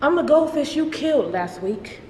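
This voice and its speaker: A woman performing a dramatic monologue as the bitter, resentful ghost of a pet goldfish, speaking in a theatrical, deliberate, scornful way. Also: high-pitched, animated, darkish tone. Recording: noisy, echoing hall recording.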